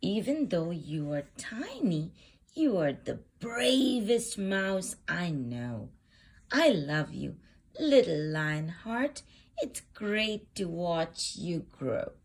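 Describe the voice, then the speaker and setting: A woman reads a children's storybook aloud in a fairly low voice. Her delivery is very animated and unhurried, shaping each phrase deliberately for small listeners. She sounds like a host presenting a picture book. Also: breathy edge.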